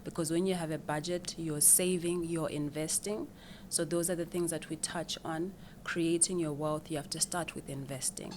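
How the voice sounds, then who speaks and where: A woman with a notably low-pitched voice explains her points patiently as a guest. She often stumbles over and repeats a word while thinking. A faint hiss sits under her voice. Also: steady tone, normal speed, few pauses, darkish tone. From Zimbabwe. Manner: explanatory, with frequent repeated words and small laughs. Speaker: financial planner guest. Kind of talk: casual interview answers.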